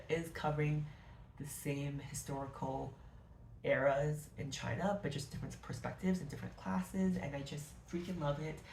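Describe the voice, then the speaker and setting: A woman's voice: low voice, darkish tone, slight background noise. A woman reviewing her favourite books of the year in a casual, gushing, rambling way, often correcting herself mid-sentence. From Canada. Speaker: book vlogger. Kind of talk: casual book review to camera. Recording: echoey room.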